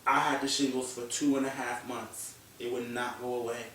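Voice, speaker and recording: A man's voice: medium pitch, level tone, moderate pace, a bit bright, a little hiss or hum. A young man in his early twenties speaking candidly and emotionally on a panel chat show, now and then swearing. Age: young adult. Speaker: guest. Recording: echoey room, distant mic.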